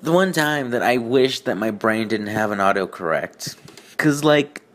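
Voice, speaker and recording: A man's voice: lowish voice, lively intonation, unhurried. A man hosting a reading show with sarcastic, rambling commentary in an exasperated, self-deprecating manner. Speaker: host of a bad fan fiction reading show.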